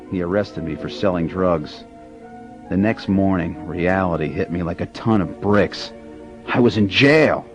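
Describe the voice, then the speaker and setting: An actor playing a young man who recounts his days as a teen drug dealer in a radio drama, earnest and confessional. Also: low-pitched. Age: young adult. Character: teenage drug dealer telling his past. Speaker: narrator. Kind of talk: radio drama narration and acting. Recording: dull radio broadcast with music. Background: music.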